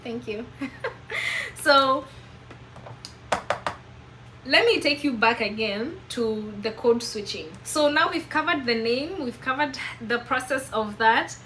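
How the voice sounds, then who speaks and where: A woman's voice: sits high, expressive, slow, long pauses, bright, forceful tone, a little hiss or hum. A woman in her twenties with a Kenyan accent, telling a personal story to camera in an emphatic, preachy way. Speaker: vlogger.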